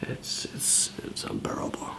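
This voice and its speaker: A man doing an improvised ASMR roleplay as a weary, easygoing survivor, in a breathy, hesitant, rambling murmur. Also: measured pace. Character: weary, easygoing male zombie apocalypse survivor.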